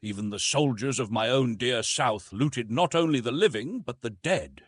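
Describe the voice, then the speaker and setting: A man's voice: mid-range voice, expressive, moderate pace, husky, dry recording, studio quality. A man voicing a determined, proud young American woman from the South who argues in a formal, insistent way, as in an audiobook adventure story. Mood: firm, reasoning.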